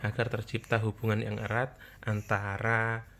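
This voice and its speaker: A man's voice: fairly low pitch, monotone, moderate pace, fairly bright tone. Chatty Javanese man talking parenting. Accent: Javanese-accented Indonesian. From Java. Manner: chatty, repeats points, addresses parents directly. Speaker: parenting channel host. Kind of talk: casual talk to camera.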